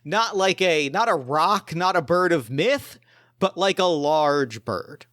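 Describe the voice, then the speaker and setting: A man runs a tabletop game as game master in a clean, resonant voice that sits a little high. The sound is dry and close. He narrates casually and improvises, thinking aloud in colloquial phrasing. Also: animated, slow, a bit bright, dry recording.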